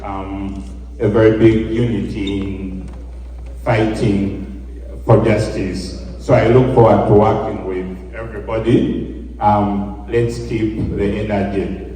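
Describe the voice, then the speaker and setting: Young man from Uganda, low voice, slow halting speech, distant mic in an echoey hall. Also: warm tone. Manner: slow, halting, many ums. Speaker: youth representative. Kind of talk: speech to a gathering.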